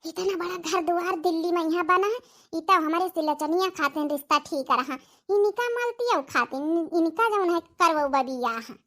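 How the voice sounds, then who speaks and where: A woman's voice: extremely high pitch, normal speed, sharp tone. A woman with an Awadhi accent voicing a nagging, two-faced middle-aged village stepmother in a comic cartoon. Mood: scheming. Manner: nagging, sarcastic.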